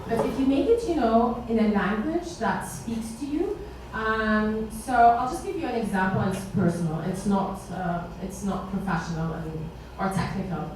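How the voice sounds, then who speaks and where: A woman's voice: mid-range voice, normal speed, darkish tone. A woman on a panel giving conversational, practical advice to an audience member. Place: indoor meetup event space with seated audience. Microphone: far camera. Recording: very echoey room, distant microphone, noisy background.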